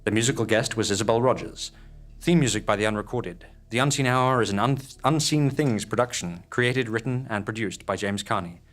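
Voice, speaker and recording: A man delivering scripted narration and show credits as host, in a measured, theatrical manner. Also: lowish voice, fairly bright tone.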